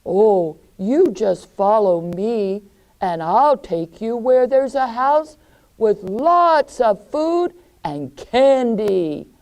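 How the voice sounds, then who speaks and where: A woman's voice: fairly high pitch, expressive, very slow, full character voice. A woman voicing a friendly male owl who hoots and offers help in a storybook tale. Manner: loud, hooting and kindly.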